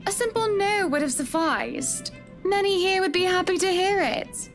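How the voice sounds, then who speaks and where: An actress playing a haughty, teasing married society hostess in a visual novel, speaking in an arch, genteel manner. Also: female voice, extremely high pitch, moderate pace. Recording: music behind the voice.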